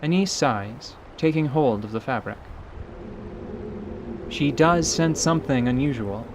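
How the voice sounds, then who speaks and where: A man's voice: medium pitch, measured pace, a little hiss or hum. A man narrating a scripted fantasy story as a radio theatre narrator. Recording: steady rain ambience behind voice. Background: rain ambience.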